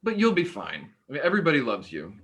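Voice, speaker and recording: An actor playing an awkward, polite young hospital worker who hesitates and stammers in a naturalistic play reading. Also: male voice, medium pitch, huge pitch swings, quick pace, fairly bright tone. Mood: surprised, concerned. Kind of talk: naturalistic stage dialogue reading.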